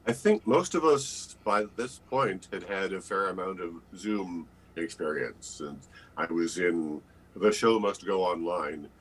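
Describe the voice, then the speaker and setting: A man's voice: deep voice, a little slow, a bit bright, husky, low-quality recording. An older man, an actor, giving conversational interview answers as a guest on a video call. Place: book-lined room indoors. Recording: faint background noise on video call.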